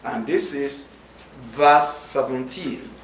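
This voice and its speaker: A man with a Nigerian accent preaching a sermon, speaking slowly and distinctly and reading scripture aloud. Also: medium pitch, slight background noise. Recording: echoey room, from far off.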